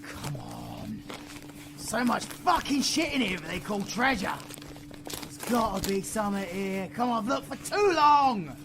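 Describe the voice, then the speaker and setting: A man with a British accent playing a brooding, foul-mouthed dark-magic supervillain in an audio roleplay, intense and full of slang. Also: high-pitched, sing-song, unhurried, creaky, noisy background, exaggerated voice. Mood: frustrated, searching. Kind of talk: dramatic audio roleplay acting.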